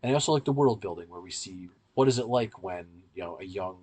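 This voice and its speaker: Low, slightly husky man explaining at length. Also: lively intonation, quick pace, mellow. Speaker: podcast co-host and reviewer. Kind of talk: casual analytical podcast discussion.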